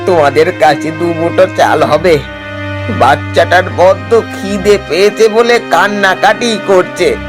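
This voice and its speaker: A man voicing a poor male day-labourer in a cartoon story, speaking slowly and humbly. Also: sits high, over music. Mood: pleading. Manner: pleading, slow.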